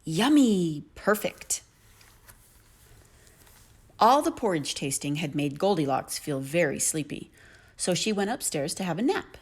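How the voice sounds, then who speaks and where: Female children's storyteller: lower-pitched, expressive, highly varied intonation. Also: normal speed, breathy edge.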